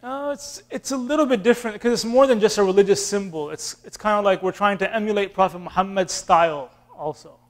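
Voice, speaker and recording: A man in his thirties from Austin, Texas, an imam answering questions in a relaxed, classroom way with fillers and restarts, filmed by a far camera in a community center room. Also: high voice, brisk, hardly any pauses. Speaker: imam teaching an intro class.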